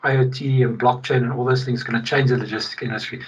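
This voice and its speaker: Middle-aged guy from south africa, animated podcast guest, raspy voice, muffled remote-call sound. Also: medium pitch, fast talker, far from the mic. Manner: animated, emphatic, frequent restarts. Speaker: guest, logistics executive. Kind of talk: casual podcast interview.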